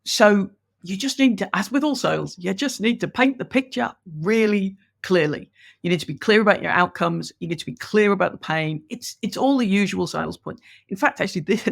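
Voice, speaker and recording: A woman's voice: mid-range voice, vocal fry. A woman from Ireland with an English accent, chatting enthusiastically as a podcast guest and laughing as she explains. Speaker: guest, business coach. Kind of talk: conversational podcast interview.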